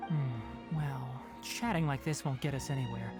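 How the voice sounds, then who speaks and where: A woman voicing a clever, composed young male forest ranger in a video game, explaining things in a measured, matter-of-fact way. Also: a bass voice. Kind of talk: English game voice acting. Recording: game music under voice.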